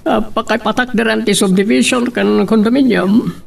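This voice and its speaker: A man's voice: high voice, fairly even intonation, moderate pace, creaky, recorded from a distance. An actor playing a confident wealthy boss and father in a radio drama, with a Filipino accent. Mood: businesslike. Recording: off-mic, music underneath.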